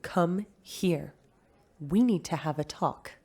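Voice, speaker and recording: A husky scolding mother. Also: lowish voice, takes it slowly, a little mellow, exaggerated voice. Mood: stern. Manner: scolding, firm. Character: stern mother, exasperated scientist.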